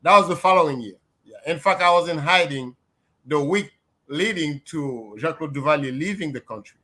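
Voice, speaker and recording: An older man from Haiti, a guest on a video call, speaking in a measured, reflective way with many fillers. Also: on the high side, animated, bright, forceful tone. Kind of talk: casual interview talk.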